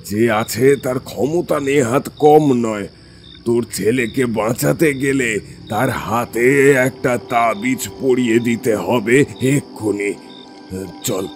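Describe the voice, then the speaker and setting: A man playing a stern, ominous village exorcist in a horror audio drama, solemn, deliberate and full of warning. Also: mid-range voice. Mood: urgent, grave.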